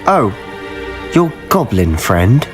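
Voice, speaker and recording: A man's voice: fairly low pitch, sing-song, takes it slowly, music in the background. A young man playing an impatient, brooding teenage schoolboy wizard in a video game. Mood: frustrated, bitter.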